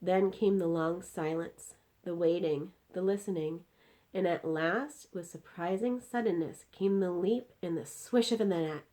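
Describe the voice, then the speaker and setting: A woman reading a children's story aloud in an expressive narrating style. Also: fairly low pitch, measured pace. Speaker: story reader.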